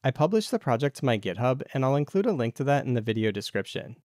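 A man's voice: medium pitch, sing-song, fast, a little mellow, no echo, studio quality. A man narrating a casual DIY tech tutorial as the video's host.

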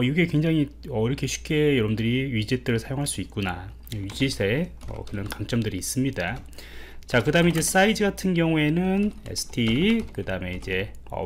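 A man teaching a programming lesson over a screen recording, explaining in a relaxed way with fillers and rhetorical questions. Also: medium pitch, a little hiss or hum. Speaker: programming instructor. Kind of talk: screen-recorded coding tutorial.

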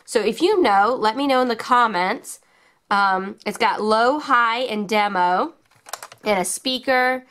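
A woman with a fairly high voice presents toys to children. She talks very unhurriedly and casually, with simple wording and plenty of enthusiasm. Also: a little slow, fairly bright tone. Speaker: children's toy channel host. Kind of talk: casual hands-on toy showcase for children.

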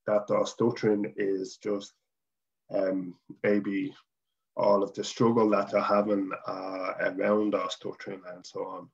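A man's voice: fairly low pitch, gravelly, dull audio. A middle-aged Irish man who stutters, speaking as a guest on a video call from home. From Ireland. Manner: stutters, with repeated sounds and blocks. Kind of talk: casual conversation. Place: home room.

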